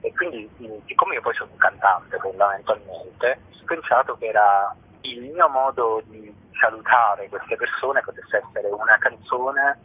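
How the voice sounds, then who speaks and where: A man, speaking reflectively as an interview guest, with halting phrasing and searching pauses. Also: mid-range voice, a little hiss or hum. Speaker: guest, singer and stage performer. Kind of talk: spontaneous radio interview. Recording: muffled phone line into radio.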